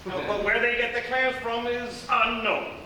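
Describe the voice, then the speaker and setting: A man's voice: extremely high pitch, steady tone, normal speed. A man playing a melodramatic, slightly befuddled announcer, setting scenes in live radio comedy theatre. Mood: ominous, theatrical. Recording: echoey theatre stage, distant mic.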